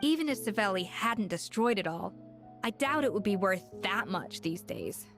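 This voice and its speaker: A woman's voice: mid-range voice, very animated, moderate pace, music in the background. A woman playing a confident, exacting young chef in a video game, speaking in an assured, articulate, explanatory way. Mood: matter-of-fact.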